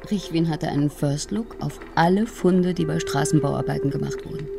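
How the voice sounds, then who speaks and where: An actress playing a cool, knowing, sardonic investigator in a naturalistic German radio crime drama. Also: female voice, low-pitched, animated, normal speed, creaky, over loud music. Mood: explaining.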